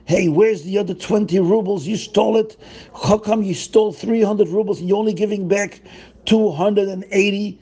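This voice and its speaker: Shrill accusing mob, one man voicing them, high and forceful, gravelly. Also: normal speed. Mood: angry. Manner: shrill, demanding. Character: angry accusing village crowd.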